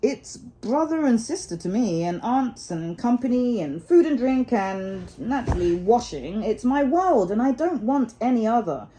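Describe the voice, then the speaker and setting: A woman's voice: on the high side, very animated, a little slow, few pauses, mellow, a little hiss or hum, low-quality recording. A woman voicing a worldly, good-natured male water rat from a storybook, chatty and assured in an old-fashioned, chummy way. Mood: fond, enthusiastic.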